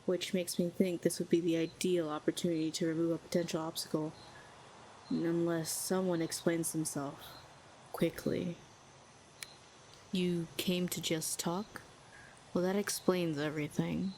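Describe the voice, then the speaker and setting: Audio roleplay: woman voicing a condescending female villain telepath, low and flat, dryly mocking. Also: measured pace, long pauses, a little hiss or hum. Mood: cold mocking.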